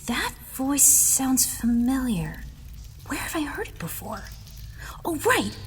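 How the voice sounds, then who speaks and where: A wary, teasing sidekick in a fantasy audio drama. The voice is extremely high and animated, blunt and excitable, with some reverb around it. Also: female voice, slow, soft background music, heavily acted. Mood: puzzled.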